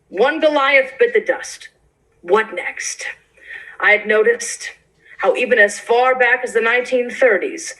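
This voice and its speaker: A woman's voice: fairly high pitch, a bit bright, poor audio. A woman playing a brash, self-satisfied male Hollywood newspaper publisher of the 1940s in a staged reading, telling his story in a wry, boastful, conversational way. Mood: wry, confiding. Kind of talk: theatrical monologue in a staged play reading.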